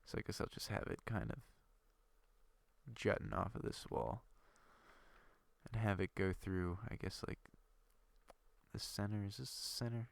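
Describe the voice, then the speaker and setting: A man's voice: on the low side, fairly even intonation, a little slow, vocal fry, pauses often, no room sound. A young man with a stuffy nose from a cold, rambling and thinking aloud with lots of fillers while commenting on his game. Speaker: gaming video creator. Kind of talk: casual gameplay commentary.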